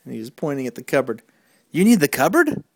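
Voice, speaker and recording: A father narrating a playful family skit, jumping into high, exaggerated questions as he plays along. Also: huge pitch swings, fast talker, no room sound, studio quality. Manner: jumps into high, exaggerated questions when voicing his lines. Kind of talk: playful home-video narration.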